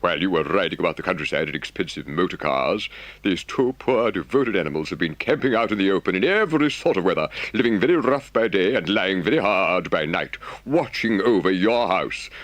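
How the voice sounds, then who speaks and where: A man voicing an earnest, reproachful rat in an audiobook, telling things gravely and dramatically. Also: lowish voice, fairly bright tone, no room sound, voice pushed up. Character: earnest, reproachful male rat, loyal storyteller.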